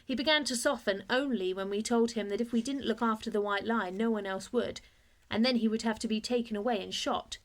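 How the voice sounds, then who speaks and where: A woman reading a children's storybook aloud as a first-person narrator, in a measured, expressive way. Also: a little high.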